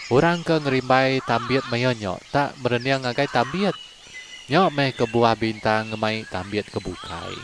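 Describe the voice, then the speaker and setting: A man's voice: mid-range voice, unhurried, noisy background. A man telling a long folk story in a conversational way as a channel storyteller, then asking listeners to like and subscribe. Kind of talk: told folk story in Iban.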